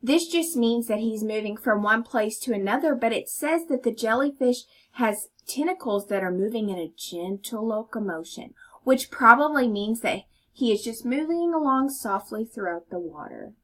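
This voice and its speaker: Higher-voiced woman reading to children. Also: lively intonation, normal speed, hardly any pauses, fairly bright tone. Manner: instructive, inviting listeners to think. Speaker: storybook reader and teacher. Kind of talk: children's read-aloud with explanations and questions.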